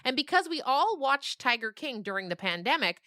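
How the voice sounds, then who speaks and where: A woman's voice: high voice, very animated, moderate pace, pushed and bright, dry recording, studio quality. A woman chatting and telling anecdotes in a dramatic, emphatic conversational style. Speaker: podcast guest, children's writer. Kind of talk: animated conversational storytelling.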